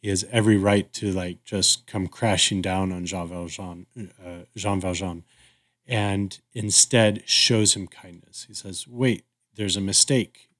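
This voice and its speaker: A man co-hosting a podcast, discussing casually in an agreeable, thoughtful and somewhat halting way. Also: low-pitched, fairly even intonation, a bit bright. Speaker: podcast co-host.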